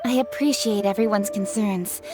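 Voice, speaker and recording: A woman's voice: mid-range voice, fairly even intonation, normal speed, over music, natural voice. An actress playing a formal female officiator announcing a cooking contest.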